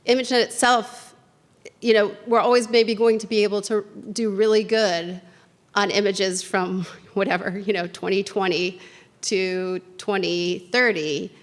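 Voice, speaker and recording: A woman speaker answering audience questions at a conference, thinking aloud with many fillers and restarts. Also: medium pitch. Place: lecture hall.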